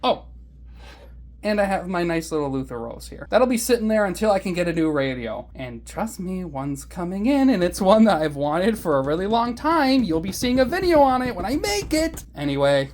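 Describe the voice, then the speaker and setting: Lower-pitched woman rambling like an enthusiast. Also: moderate pace. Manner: animated enthusiast, playfully grumbling. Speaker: hobbyist reviewer of weather radios. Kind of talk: casual rambling vlog review.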